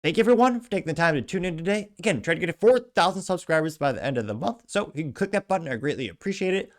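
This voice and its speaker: A man's voice: fairly high pitch, expressive, racing. A man hosting his own show, reading social media posts aloud with chatty asides in casual news commentary. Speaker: show host.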